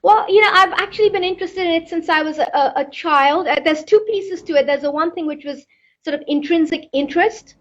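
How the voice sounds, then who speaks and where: A woman's voice: very high-pitched, a little fast, lo-fi sound. A middle-aged woman from Pakistan, an astrophysicist answering interview questions thoughtfully, often repeating words as she searches for them. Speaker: astrophysicist guest.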